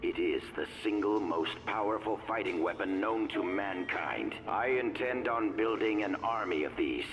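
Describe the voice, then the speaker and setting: A hateful, scheming politician from a video game. The voice is low and moves widely in pitch as it holds forth. The manner is smug and oratorical. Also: male voice, animated, normal speed, few pauses, low-quality recording. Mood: grandiose, menacing. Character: scheming, hateful male politician dictating and making speeches. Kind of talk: video game cutscene acting.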